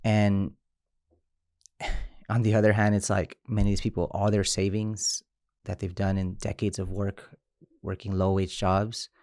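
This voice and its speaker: A man in his thirties from Mexico, an immigrant rights organizer speaking in an interview, halting with frequent restarts. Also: deep voice, darkish tone, no echo, clean studio sound. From Chiapas, Mexico. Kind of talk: interview conversation.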